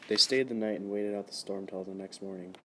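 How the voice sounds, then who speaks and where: A man's voice: fairly low pitch, steady tone, a little fast. A man reading scripted narration for a suspense radio play. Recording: noisy amateur recording with background ambience.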